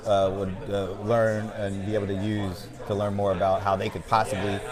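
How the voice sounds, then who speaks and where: A man's voice: on the low side, little pitch movement, moderate pace. Friendly male interviewer amid crowd noise. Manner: friendly, hesitant with many "uh"s. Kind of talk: conference interview. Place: busy conference floor. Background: crowd chatter.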